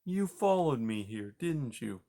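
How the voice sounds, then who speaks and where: A man voicing a gentle young female mouse who speaks softly and earnestly. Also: medium pitch, sing-song, a little slow. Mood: pleading. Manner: soft, pleading.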